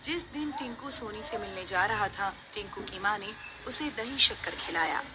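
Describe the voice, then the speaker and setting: A woman's voice: mid-range voice, expressive, moderate pace. A woman reading scripted narration of a horror story as its narrator. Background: music.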